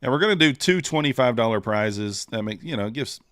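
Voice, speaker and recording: A man's voice: medium pitch, lively intonation, fairly quick, a bit bright, no echo, clean studio sound. A man streaming live, chatting casually and thinking aloud with many fillers as he trades. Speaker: streamer trading futures live.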